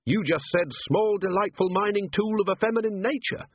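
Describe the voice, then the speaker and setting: A man's voice: high-pitched, a little fast, phone-quality sound, a little hiss or hum, voice pushed up, lightly acted. A man voicing an earnest, innocent young officer, eager and dutiful, in a storybook reading. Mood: cheerful.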